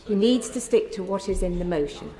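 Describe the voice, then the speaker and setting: An older woman chairing a parliamentary debate, making firm, brisk procedural rulings. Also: mid-range voice, expressive, a crowd in the background. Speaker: deputy speaker chairing debate. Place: debating chamber. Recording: echoey chamber with long reverb.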